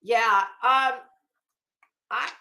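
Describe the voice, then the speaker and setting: High-pitched, theatrical woman streamer. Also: steady tone, very slow, gravelly, pushed and bright. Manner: theatrical, sarcastic. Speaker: livestream host. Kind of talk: dramatic reading with off-the-cuff commentary. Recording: echoey, roomy sound.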